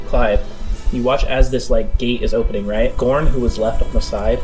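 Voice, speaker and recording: A man runs a tabletop roleplaying game as game master, with an average-pitched voice. He sets scenes dramatically yet conversationally, and music plays softly behind him. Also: fairly even intonation, normal speed, low-quality recording. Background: background music.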